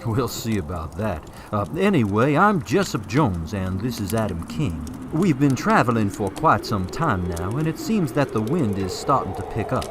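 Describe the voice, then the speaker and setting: An actor playing a kindly, faithful traveler in a radio drama, polite and a little hesitant in manner. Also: male voice, lowish voice, big pitch swings, normal speed, few pauses, background noise. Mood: awkward, polite. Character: kindly, faithful male traveler, tactful and steadying companion.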